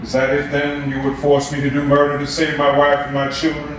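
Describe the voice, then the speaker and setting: A man's voice: fairly high pitch, completely flat delivery, fairly quick, noisy background, lightly acted. An actor in a staged reading playing an imprisoned male civil-rights leader who pleads, torn and impassioned, heard from a distance on an echoey stage. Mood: anguished. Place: stage or theater.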